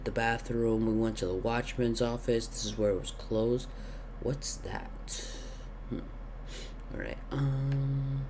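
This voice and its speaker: A man's voice: mid-range voice, a little mellow. Young guy w/ sore throat n sniffles, rambly let's-play chat into a headset, bit of hiss. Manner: rambling, thinking aloud with many fillers. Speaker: gaming YouTuber. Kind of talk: casual let's-play commentary. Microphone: gaming headset.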